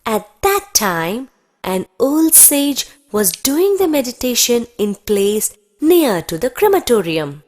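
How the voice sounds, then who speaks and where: A woman's voice: a little high, big pitch swings, takes it slowly, darkish tone. A woman narrating a scripted children's story.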